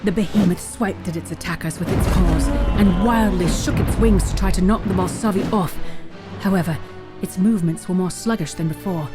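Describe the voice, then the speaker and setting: A woman's voice: fairly low pitch, moderate pace, lightly acted. A woman playing the storyteller of a fantasy audio drama, narrating a heroic tale in a grave, dramatic scripted style. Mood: grave, suspenseful. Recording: music bed under the voice. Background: prominent dramatic music.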